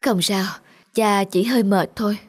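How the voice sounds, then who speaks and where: A man voicing a kind, weary, self-sacrificing poor cobbler father in a cartoon, speaking gently and reassuringly. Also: high voice, fairly even intonation, normal speed, no echo. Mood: gentle, tired. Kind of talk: cartoon drama dubbing.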